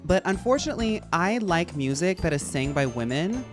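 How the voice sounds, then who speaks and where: A man with a high, feminine-sounding voice, chatting casually and rambling to his live-stream audience while he plays. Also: very animated, brisk, music in the background. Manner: rambling, chatty, addresses chat as y'all. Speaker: game streamer.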